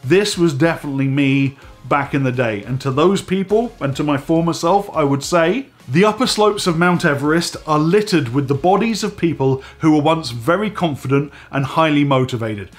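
Man in his forties, slightly higher voice, a little stuffy and hoarse from a cold, chatty to-camera host with faint music underneath. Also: moderate pace, hardly any pauses. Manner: chatty and animated, talking with his hands. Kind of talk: casual direct-to-camera vlog. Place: home studio room with dive gear on shelves.

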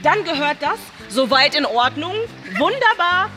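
A young woman chatting casually and reading quiz questions in an excitable, playful way. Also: sits high, a little slow. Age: young adult. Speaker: host of a challenge video. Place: large indoor swimming pool hall. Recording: music behind the voice. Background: music.

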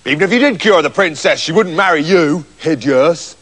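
A man's voice: on the high side, lively intonation, normal speed, fairly bright tone, big-room sound, pitched below the performer's own voice. A man voicing a scornful, exasperated farmer in a comic children's story, bossy and dismissive.

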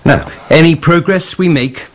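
An actor playing a guarded, officious middle-aged prosecutor in a radio drama, formal and evasive. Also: male voice, on the high side, a little slow, lightly acted. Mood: guarded, uneasy.

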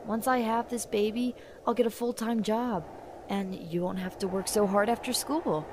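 A woman's voice: medium pitch, normal speed, noisy background, natural voice. A woman voicing a pregnant young woman abandoned by her husband, earnest and pleading, in a comic dub.

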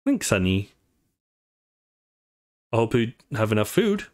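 A man voicing a polite, caring, anxious woman in a visual novel, with a gently pleading, motherly manner. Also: on the low side, big pitch swings, slow pace.

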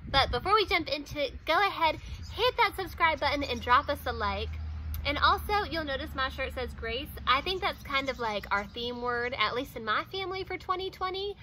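A woman in her thirties chats straight to the camera in a loose, rambling way, full of "like" and small laughs. Her voice sits high and sounds bright and crisp. A faint outdoor hiss lies behind her. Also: animated, moderate pace, low-quality recording. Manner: chatty, many "like"s and small laughs. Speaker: vlogger. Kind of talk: casual talk to camera. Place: outdoors in front of a wooden fence.

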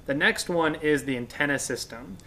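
Man, American accent, thirties, fairly high pitch, casual instructional talk, conversational. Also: moderate pace, sharp tone, slight background noise. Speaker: guest radio expert and instructor. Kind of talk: casual explanation. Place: indoors on a couch in a workshop room.

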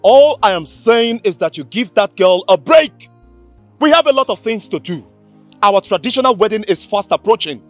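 Impatient Nigerian fiancé, high-pitched voice. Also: male voice, lively intonation, normal speed, no room sound, faint music behind. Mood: irritated. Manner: insistent, stern. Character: impatient, demanding young fiancé. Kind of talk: film drama acting.

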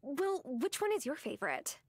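A woman's voice: high-pitched, big pitch swings, moderate pace, no room sound. A woman playing an earnest, caring young granddaughter in a cozy video game, with an eager manner.

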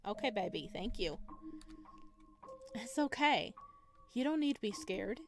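A woman's voice: medium pitch, animated, a little slow, faint music behind. A woman reading as a lonely male children's show host in a visual novel, coaxing, soothing and over-friendly.

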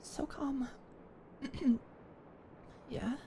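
A high-voiced young woman talks close to the mic in a shy, halting way, stumbling over her words and now and then slipping into a soft whisper. A gravelly fry runs under her voice. Faint ambient sound sits behind her. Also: fairly even intonation, slight background noise. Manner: shy, stammering, flustered. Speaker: ASMR roleplay performer. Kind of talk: ASMR roleplay, one-sided dialogue.

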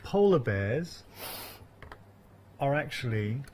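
A man's voice: medium pitch, big pitch swings, slow pace, a little mellow, slight background noise. A man in his thirties presenting on a studio set, bantering playfully with trivia and stories. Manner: playful, jokey, full of trivia and anecdotes. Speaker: TV shopping channel presenter. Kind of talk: light banter with a guest.

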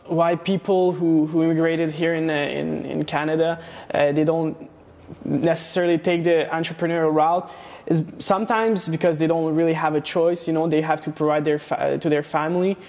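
A young man from Romania, a guest in a studio interview, talking casually with many pauses and restarts. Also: a little high, steady tone, gravelly, darkish tone. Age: young adult. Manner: hesitant, many fillers and restarts. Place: studio set with plant wall and neon sign. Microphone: lapel mic.

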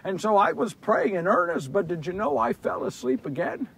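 A man's voice: high voice, animated, normal speed, creaky, husky, exaggerated voice. A man playing Simon Peter as a big, remorseful fisherman disciple, telling his story in an earnest, confessional, theatrical dramatic monologue. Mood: earnest, reflective. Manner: earnest, confessional, theatrical, swinging from hushed to shouting. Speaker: solo storyteller actor. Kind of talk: first-person dramatic monologue of a Bible story. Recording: distant microphone.

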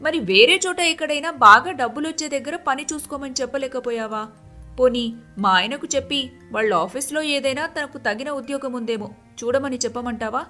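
A woman plays a generous, well-off young wife in a cartoon moral tale. She speaks in a high voice with a gentle, reassuring friendliness. Light music runs behind her. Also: brisk. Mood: concerned, friendly. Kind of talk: animated moral-story character dialogue.

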